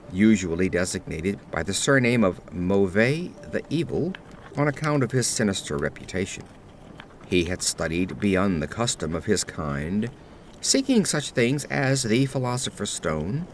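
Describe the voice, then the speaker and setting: A man narrating a gothic horror tale from a script in a measured, solemn storyteller's manner. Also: medium pitch, a little hiss or hum. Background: atmospheric ambience.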